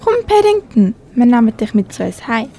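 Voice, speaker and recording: An eager young daughter acted by a female amateur with lively, swinging intonation and a fairly high pitch. She has a Swiss German accent and a welcoming radio-play manner. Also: fairly quick, over music. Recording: faint background noise.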